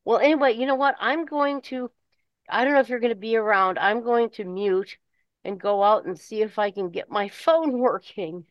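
A woman's voice: on the high side, normal speed, no room sound. A woman chatting casually in a conversational way, like a caller on a talk show. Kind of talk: casual chat. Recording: dull, slightly muffled phone audio.

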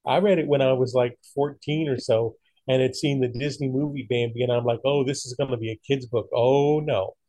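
A man's voice: medium pitch, fairly even intonation, brisk, warm tone, no echo, poor audio. A man in casual conversation, talking in an opinionated, emphatic way. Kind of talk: casual chat.

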